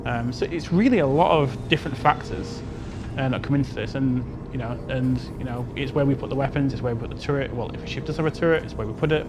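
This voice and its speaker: A man's voice: mid-range voice, fast, background noise. A man giving an unscripted interview answer as a game developer, explaining in a hedging way and often repeating "you know".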